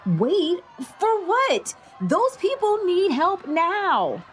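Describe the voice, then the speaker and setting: A woman's voice: extremely high pitch, huge pitch swings, very slow, faint music behind, exaggerated voice. A woman playing a brave, principled teenage girl hero, earnest and decisive, in an audio drama. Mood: urgent.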